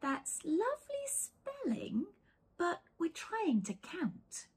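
A woman voicing a weary, patient sheepdog who gently coaxes farm animals to bed in a children's storybook. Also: very high-pitched, huge pitch swings, slow, voice pushed up, full character voice. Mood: patient, tired. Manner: gentle, coaxing. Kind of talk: children's storybook read-aloud.

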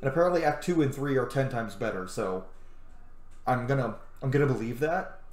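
A man's voice: mid-range voice, animated, fairly quick, darkish tone. A man in his thirties giving enthusiastic, opinionated and casual commentary as he plays a video game. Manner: enthusiastic, opinionated, swears freely. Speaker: gaming let's player. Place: small room at home, indoors. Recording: roomy home recording under game audio. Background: game music.

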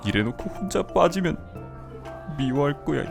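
A man's voice: fairly high pitch, expressive, moderate pace, vocal fry. A man playing a flustered, shy thirties guy. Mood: fretful. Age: 30s. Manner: flustered, hesitant, sighing. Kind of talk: amateur audio drama acting. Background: soft background music.

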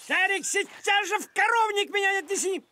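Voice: very high-pitched, normal speed, background noise. An actor voicing a grumpy, thrifty talking tomcat in a cartoon, with a scolding, bossy manner. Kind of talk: animated series voice acting. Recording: music behind the voice.

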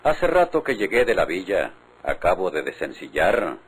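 Sly mexican ranch boss, really low voice, swoopy delivery, folksy but menacing, old radio soap. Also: male voice, huge pitch swings, normal speed, slightly rough, exaggerated voice, low-quality recording. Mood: friendly, smug. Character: sly, powerful rural patron, falsely friendly, veiled menace. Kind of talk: vintage radio drama acting.

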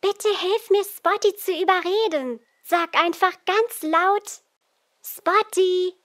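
A woman's voice: extremely high pitch, slow, fairly bright tone, exaggerated voice. A woman voicing a cheerful, caring little girl who speaks simply to young viewers in a children's cartoon.

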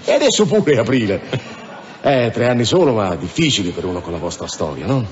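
A man's voice: fairly low pitch, a little fast, slight background noise. An actor in a radio drama playing a chatty, jovial, deferential policeman with a colloquial way of talking. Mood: chatty, amused. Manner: animated, colloquial, repetitive. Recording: dull radio drama recording.

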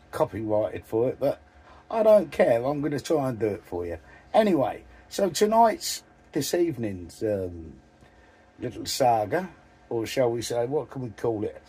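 An older man with a husky voice, chatting casually and haltingly to camera as a vlogger. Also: mid-range voice, big pitch swings, warm tone. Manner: halting, rambling. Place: small dim vehicle interior.

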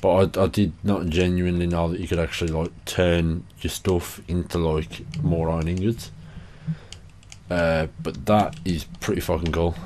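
A young man commentating casually over his game. He is tired and a little hoarse from a cough, chatty and sweary. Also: low-pitched, steady tone, moderate pace, a little mellow, slight background noise. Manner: sweary, chatty, self-deprecating. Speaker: gaming channel host.

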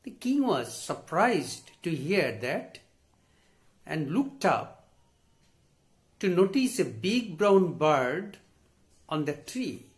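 A man in his sixties with an Indian English accent, reading and explaining a story for students in deliberate, short phrases like a teacher. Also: fairly high pitch, very animated. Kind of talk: story read aloud and summarised for students. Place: small indoor study with bookshelves.

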